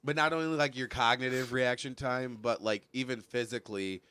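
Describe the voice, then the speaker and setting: A man's voice: mid-range voice, fairly even intonation, normal speed, pushed and bright, clean tone, no room sound. A young man from Michigan, a stand-up comic guesting on a podcast, chatting casually with a congested voice and joking, rambling, laughing often.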